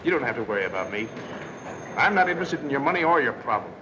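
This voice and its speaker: A man's voice: medium pitch, very animated, fast talker, lightly acted. An actor playing a glib, cocksure man in a 1930s film. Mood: dismissive. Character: cocky, breezy, fast-talking wisecracking man in old film. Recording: dull old film soundtrack with music.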